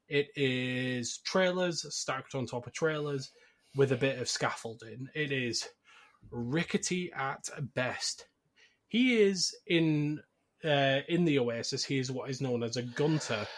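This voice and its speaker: A man hosting a podcast, explaining a film's plot in a casual, conversational way. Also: medium pitch. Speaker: podcast host.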